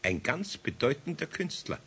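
A man's voice: on the high side, big pitch swings, normal speed, sharp tone, husky, heavily acted. An actor playing a good-natured older master craftsman with a Bavarian accent, homely and patient in a hesitant way, in a children's radio play. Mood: amused. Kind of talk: 1970s German children's radio play acting.

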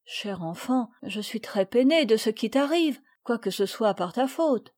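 Brief: stern yet tender mother, read by a woman in a storybook, measured and firm. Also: a little high, lively intonation, a little mellow. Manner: firm, reproving, then tender. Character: gentle, moralizing mother of a girl. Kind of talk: audiobook reading.

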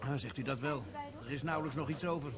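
An actor playing a subdued, deferential army corporal in a radio play. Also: male voice, medium pitch, quick pace, close to an everyday voice. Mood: worried. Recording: pub chatter behind.

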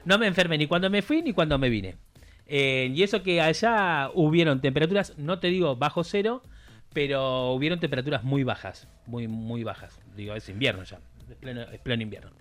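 Argentine man, lively pitch, hesitant storyteller. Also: fairly quick. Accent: Rioplatense Spanish. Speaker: radio guest. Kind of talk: casual radio chat.

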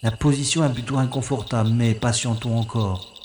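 A man's voice: mid-range voice, fairly even intonation, moderate pace, a little mellow, reverberant, slight background noise, close to an everyday voice. A man voicing a wry, self-mocking secret agent who narrates his own mission in an audio drama. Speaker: first-person narrator-hero. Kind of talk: audio drama inner monologue.

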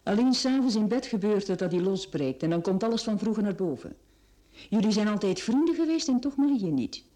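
A woman's voice: mid-range voice, expressive, a little fast, warm tone, lightly acted. An actress playing a faded, proud, plain-spoken woman in a radio play. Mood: guarded. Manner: resigned, frank.

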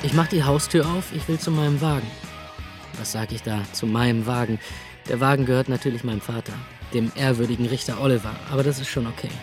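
A man's voice: mid-range voice, quick pace, music in the background, close to an everyday voice. An actor playing a restless young man narrating a radio drama with sardonic asides. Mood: wry.

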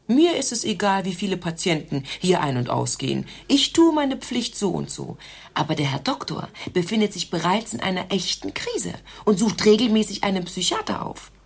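Husky, officious receptionist. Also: female voice, mid-range voice, big pitch swings, moderate pace, exaggerated voice. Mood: lecturing, officious. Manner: lecturing, officious, emphatic. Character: stern, self-righteous doctor's receptionist woman. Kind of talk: satirical radio play monologue.

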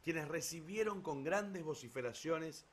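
A man narrating a literary story for radio theatre in an expressive, declamatory way with ironic emphasis. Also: fairly high pitch, a little slow.

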